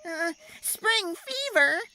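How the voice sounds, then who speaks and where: Lady doing a whiny little monkey boy for a storybook, really high pitched and excitable, music behind. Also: extremely slow, a little hiss or hum, exaggerated voice, poor audio. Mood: worried. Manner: whiny, exclamatory, easily worked up. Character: silly, grumpy, excitable young male monkey.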